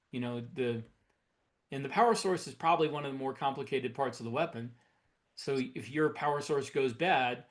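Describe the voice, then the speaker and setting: A man co-hosting a podcast, explaining his opinions in casual conversation. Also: medium pitch. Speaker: podcast co-host.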